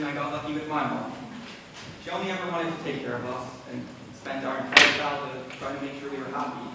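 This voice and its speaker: A man playing a gentle, burdened teenage boy in an amateur stage drama, speaking earnestly and hesitantly, recorded on an echoey stage. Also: mid-range voice, recorded from a distance, noisy background, natural voice. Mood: sympathetic. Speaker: actor in a school play. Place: school stage, recorded from a distance.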